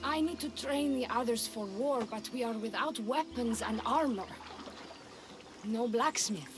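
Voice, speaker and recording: A woman's voice: a little high, measured pace, background noise, lightly acted. An actress playing a weary, practical village woman who leads, earnest and guarded, in a video game. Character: weary, pragmatic unwed village woman leader. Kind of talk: video game cutscene acting.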